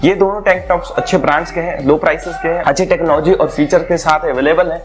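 A man's voice: fairly high pitch, fairly even intonation, fast. A young man chatting about gym gear in a casual review vlog, speaking persuasively into a lapel mic in a small room. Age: young adult. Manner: chatty and persuasive, mixing English words into his talk. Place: small indoor room, seated on a sofa.

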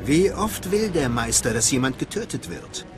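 Sly male Sith inquisitor for a game, played by a man, ambitious, menacing, lively pitch movement over light music. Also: normal speed, close to an everyday voice. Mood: probing. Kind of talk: dramatic video game dialogue. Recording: music behind the voice.

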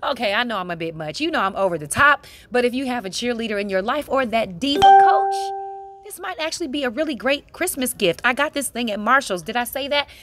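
A woman's voice: on the high side, expressive, a little fast, over music. A middle-aged American woman giving a casual craft tutorial voice-over in a chatty, playful, informal way. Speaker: craft vlogger.

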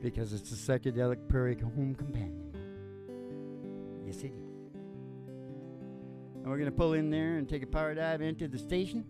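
A man's voice: mid-range voice, moderate pace, pauses often. A man in his sixties hosting with playful, theatrical, rambling folksy comic radio patter into a stage microphone. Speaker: host and country singer. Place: small curtained stage, indoors. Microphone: stand microphone. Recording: live stage, acoustic guitar behind. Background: acoustic guitar.

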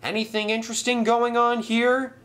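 A man's voice: very high voice, level tone, normal speed, noisy background, voice pushed up. A man voicing a formal, polite male engine.

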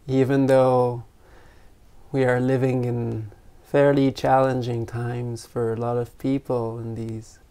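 This is a meditation teacher of unclear sex with a soft-edged, mellow voice. The delivery is very slow and even, with long pauses between short phrases. It sounds like gentle, unscripted guidance for a meditation group. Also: medium pitch. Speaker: meditation teacher leading an online retreat. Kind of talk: guided meditation, gentle spontaneous talk.

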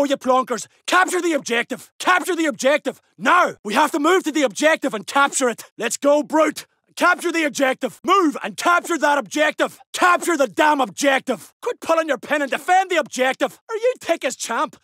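A man voicing a gruff, battle-hardened soldier in a video game, barking urgent, blunt combat lines. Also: extremely high pitch, animated, moderate pace, hardly any pauses, dry recording, studio quality, exaggerated voice. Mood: commanding. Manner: urgent, forceful, blunt swearing.

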